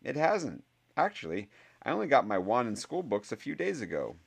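A man voicing a clever, quick-tongued eleven-year-old boy wizard who sounds exasperated. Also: mid-range voice, wildly swooping pitch, creaky, breathy edge, pitched above the performer's own voice, full character voice. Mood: indignant.

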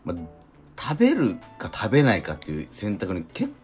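A man's voice: on the low side, wildly swooping pitch, normal speed, a little mellow, soft background music. A man hosting a casual radio chat, agreeable, often chiming in and asking follow-up questions. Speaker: radio show host and actor.

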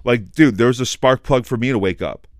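A man hosting a casual podcast chat, talking loudly and passionately, swearing and filling his speech with "like". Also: mid-range voice, a little fast, no echo, clean studio sound. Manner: loud, passionate, swears, heavy use of "like". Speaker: podcast host.

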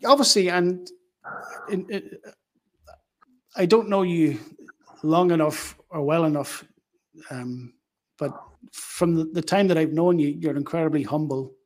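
A man's voice: on the high side, unhurried, long pauses, darkish tone. A man hosting a conversational interview, speaking earnestly and feeling his way through his phrasing. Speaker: podcast host, interviewer.

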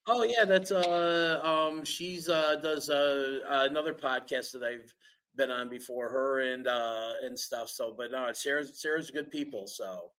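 A man's voice: mid-range voice, unhurried, a bit bright. A man co-hosting a casual live podcast, eager and rambling, often restarting his sentences. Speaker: podcast co-host.

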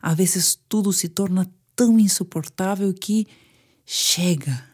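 A woman with a Brazilian Portuguese accent guiding a sleep meditation in a soothing, hushed manner with pauses. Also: medium pitch, breathy edge. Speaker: meditation guide. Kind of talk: guided sleep meditation narration.

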